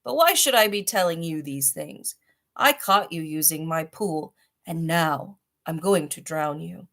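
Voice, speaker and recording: Woman putting on an old male rhinoceros, gruff, self-pitying, angry, lamenting, children's storybook character. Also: expressive, unhurried, fairly bright tone, exaggerated voice. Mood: threatening. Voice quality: deep, gruff. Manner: grumbling, lamenting. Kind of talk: children's storybook read aloud.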